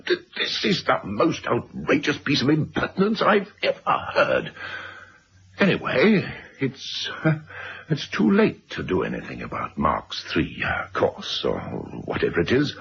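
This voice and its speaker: A man's voice: medium pitch, huge pitch swings, a little slow, gravelly, heavily acted. An actor playing a pompous, indignant middle-aged father in a radio drama, blustering as he is cornered. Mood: outraged, incredulous. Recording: dull, hissy old radio recording.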